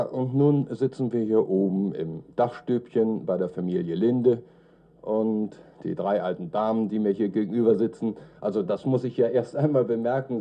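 A man working as a radio reporter, interviewing in a 1950s reportage style. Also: on the low side. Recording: dull archive radio recording, faint music.

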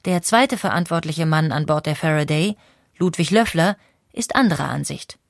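A woman's voice: deep voice, moderate pace, dry recording, clean studio sound. Woman narrating a history documentary. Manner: clear, even storytelling with rhetorical questions.

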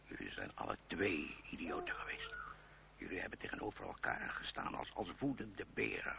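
An actor playing a gruff, fond old uncle in a radio play, halting and gently scolding, with a creaky old voice. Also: male voice, sits low, wildly swooping pitch, full character voice. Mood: musing, exasperated. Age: older. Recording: noisy, muffled old radio recording.

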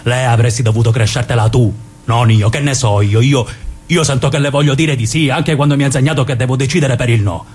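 A man's voice: medium pitch, fairly quick, a little mellow, husky, lightly acted. A man playing a blunt, protective but insecure brother with a southern Italian accent, in a colloquial radio drama reading. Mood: insecure, confessional.

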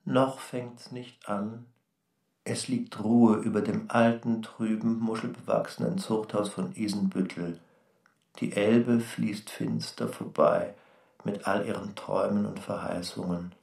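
An actor playing a solemn, portentous author who recites brooding literary prose in a radio play. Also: male voice, fairly low pitch, steady tone, unhurried, creaky, a little mellow. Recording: roomy echo, distant from microphone.

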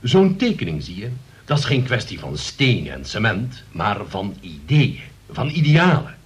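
An actor in a Dutch radio play playing a pompous, long-winded middle-aged uncle who moralizes about politics. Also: male voice, fairly low pitch, huge pitch swings, measured pace, husky, lo-fi sound, heavily acted. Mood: pompous, indignant.

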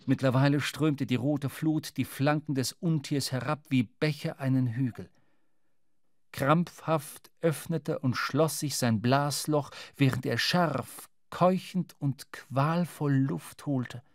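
A man with a mid-pitched voice reads literary narration for a radio drama. He tells it in a measured, dramatic way, in a close, dry studio. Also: dry recording.

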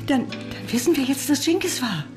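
A woman's voice: high voice, very animated, normal speed. A devout older mother who begs and worries over her family. Plucked guitar music plays beneath her. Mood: anxious. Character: pious older Harlem mother. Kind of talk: radio play acting.

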